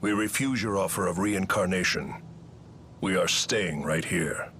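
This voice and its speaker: A man's voice: low-pitched, measured pace, pushed and bright, slight background noise. An actor playing a grave, steadfast, stoic male elemental spirit in a video game, with a formal, stately delivery. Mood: resolute. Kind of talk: dramatic video game voice acting.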